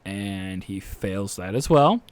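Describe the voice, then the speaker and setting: A man acting as game master, narrating a tabletop game off the cuff and pausing to check rules aloud. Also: low-pitched, lively intonation, slight background noise.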